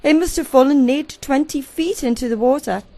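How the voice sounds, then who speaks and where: A woman acting a distressed, weary married shipwreck survivor in a radio play, recalling it haltingly and dramatically. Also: high-pitched, mellow. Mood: agitated. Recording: community radio play with faint music.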